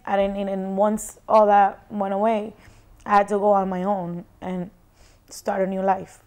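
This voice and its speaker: A young woman recounts her experience in a quiet, halting way, often restarting. Her voice is smooth and clear but stays very flat in pitch. She wears a lapel mic in a home room. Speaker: shelter client. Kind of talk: personal interview testimony.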